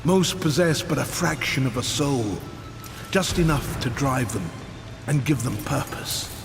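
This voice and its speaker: Tired old mentor in a fantasy game, slow n solemn, echoing hall, music behind. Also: male voice, medium pitch, animated, vocal fry. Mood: solemn, explaining. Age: older. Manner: solemn, measured. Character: weary old male Maker, solemn mentor. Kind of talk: video game character acting. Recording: long reverb, music behind.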